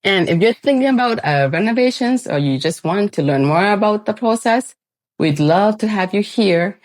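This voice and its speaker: A woman in her forties, co-founder of a renovation company, speaking in an upbeat, clear way. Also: medium pitch, animated, normal speed. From born in Thailand, raised in Canada. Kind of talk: scripted channel introduction.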